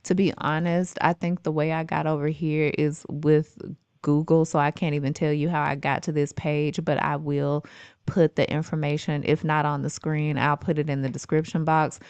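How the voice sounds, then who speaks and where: A woman with a low, clear and resonant voice narrates an informal on-screen walkthrough as a video host. She explains things in a conversational, reassuring way. The sound is close and very dry. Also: level tone, moderate pace, a little mellow, no room sound, highs cut off. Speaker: YouTube host presenting remote job listings.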